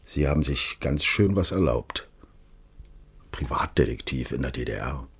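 An actor playing a secretive, quietly menacing official in a radio crime drama, measured, formal and insinuating. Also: male voice, a bass voice, expressive, husky, heavily acted. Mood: polite, insinuating. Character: smooth-mannered, menacing, secretive official recruiting a detective.

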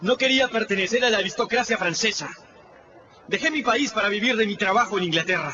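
An actor playing an earnest, dignified young emigrant aristocrat, declaiming in a radio drama. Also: male voice, sits high, lively intonation, fast talker, pushed and bright. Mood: earnest defiance. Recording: dull, distant radio recording, faint noise.

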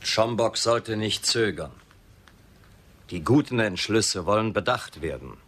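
A man's voice: fairly low pitch, animated, measured pace, fairly bright tone, soft background music. An actor playing a shrewd, bold frontier negotiator in a radio western, formal and persuasive in his delivery. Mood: insistent. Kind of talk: German radio drama acting.

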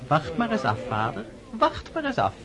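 Shrewd, high-voiced young schemer. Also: male voice, huge pitch swings, normal speed, full character voice. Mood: knowing, mocking. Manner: sardonic, knowing. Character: shrewd, mocking adult child of aristocrat. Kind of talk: radio drama acting. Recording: party crowd murmuring behind.

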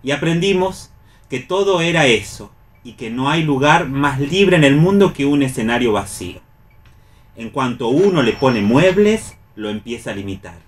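A man's voice: mid-range voice, very animated, moderate pace. A man with an Argentine accent explaining things expressively in a radio discussion, sometimes reading aloud.

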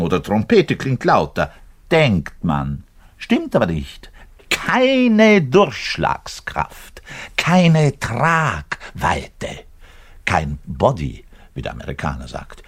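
An actor playing an embittered, pedantic orchestral musician in a conversational, digressive radio-play monologue. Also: male voice, medium pitch, a bit bright, breathy edge, exaggerated voice. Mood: emphatic, boastful. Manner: digressive, emphatic, grumbling. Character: embittered, pedantic male orchestral double bass player, ranting and lecturing.

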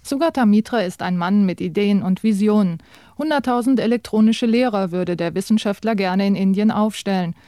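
A woman reading a scripted radio feature in an even, composed way. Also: mid-range voice, brisk, no room sound, studio quality. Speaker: radio narrator.

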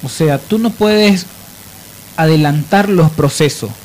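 A man's voice: on the high side, slow pace, darkish tone, poor audio. A man with a Bolivian accent hosting a radio show, explaining things emphatically and with opinion. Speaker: radio host. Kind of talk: radio discussion and biographical narration.

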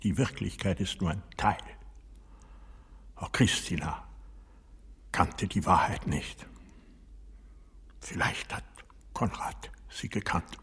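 A proud, brooding old aristocrat and general in a radio play. His voice is deeply husky and gravelly, and he speaks in slow, deliberate, terse phrases. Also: fairly bright tone, heavily acted. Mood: grave, obsessed. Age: older. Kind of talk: German radio drama acting.

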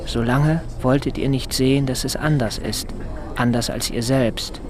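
An actor playing a brooding, bookish tutor in a radio drama, speaking in a deliberate, measured way. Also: male voice, medium pitch, fairly even intonation, noisy background, lightly acted. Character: brooding literary tutor secretly writing a missing boy's letter. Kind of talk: radio drama acting and narration.

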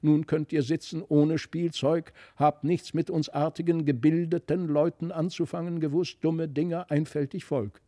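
A man's voice: on the high side, level tone, moderate pace, hardly any pauses, mellow, no room sound, clean studio sound, natural voice. Man as mocking, spiteful living toys. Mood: jeering. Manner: taunting, chanting.

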